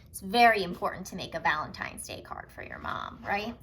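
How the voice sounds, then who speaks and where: A woman reading a storybook aloud to young children in an expressive way, putting questions to her listeners and sometimes dropping into hushed asides. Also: medium pitch, fairly quick, slight background noise. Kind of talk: animated children's read-aloud.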